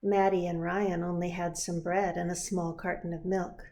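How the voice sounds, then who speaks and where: A woman narrating a children's picture book in an expressive, deliberate storytelling manner. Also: fairly low pitch. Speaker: pastor reading a picture book.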